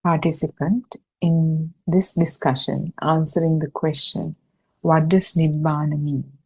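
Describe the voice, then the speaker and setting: Slow, low-pitched woman reading, husky and gravelly. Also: level tone, low-quality recording. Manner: measured, deliberate, with pauses between short phrases. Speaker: reader and host of an online Buddhist study session. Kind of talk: reading a religious discussion text aloud. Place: online video meeting.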